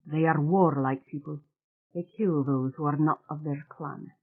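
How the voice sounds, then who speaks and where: A woman voicing a grave, solemn man who explains in measured tones, heard with a tinny, phone-like sound. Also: sits low, fairly even intonation, slightly rough.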